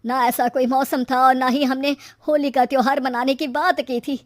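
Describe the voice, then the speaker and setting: A woman voices a superstitious village headman in a cartoon story. He sounds high-pitched and worried, cautioning others and dodging questions. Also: little pitch movement, moderate pace, close to an everyday voice.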